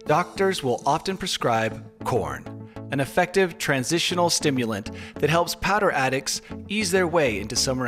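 Deadpan male narrator over prominent music. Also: on the high side. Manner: deadpan, tongue-in-cheek formal delivery. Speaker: narrator of a skiing explainer video. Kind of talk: scripted narration, mock-documentary. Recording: music bed under voice-over.

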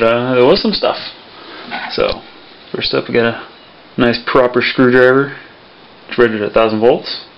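Young guy rambling at his workbench, lots of ums, muffled camera mic, bit of hiss. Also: medium pitch. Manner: relaxed, rambling, many fillers. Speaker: electronics hobby vlogger. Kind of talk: casual show-and-tell vlog. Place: home workbench, indoors. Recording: dull lo-fi camera audio with faint hiss.

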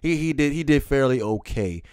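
A man's voice: medium pitch, animated, darkish tone, smooth, dry recording. Informal man recapping football, dry close sound. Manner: informal, repeats phrases for emphasis, halting. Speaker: sports commentary vlogger and football fan. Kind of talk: unscripted post-game football recap.

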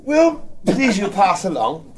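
An actor playing a scheming, falsely genial duke in a Shakespearean audio drama, husky and mock-gentle. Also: male voice, high-pitched, wildly swooping pitch, crowd noise, exaggerated voice. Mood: oily, amused. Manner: mock-gentle, chuckling, drawn-out delivery. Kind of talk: Shakespearean radio drama acting.

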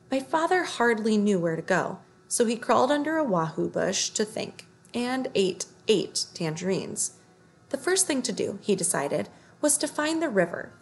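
A woman in her thirties narrating a children's story, read aloud from the book at home. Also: medium pitch. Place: small living room, indoors.

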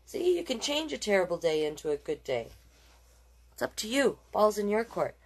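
A woman's voice: medium pitch, very animated, moderate pace. A woman hosting a children's storytime, reading picture books aloud with playful, encouraging asides to young listeners. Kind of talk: picture-book read-aloud with chatty asides.

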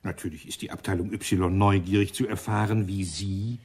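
A man's voice: low-pitched, steady tone, normal speed, darkish tone, breathy edge. A man playing an irritable British police inspector in a German radio crime drama, dry and commanding. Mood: sardonic, commanding.

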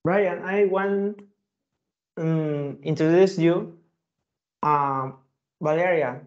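A man's voice: on the high side, drawn-out words. A man speaking hesitant beginner English as a student in an online class. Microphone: video call. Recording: muffled video call audio.

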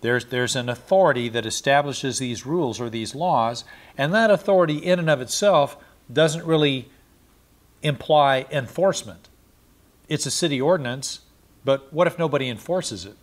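A man's voice: mid-range voice, expressive, normal speed. Man, middle-aged, Bible teacher, explanatory, repeats Greek words, lapel mic, small studio. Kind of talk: verse-by-verse Bible study lecture. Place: small indoor studio, seated in chair.

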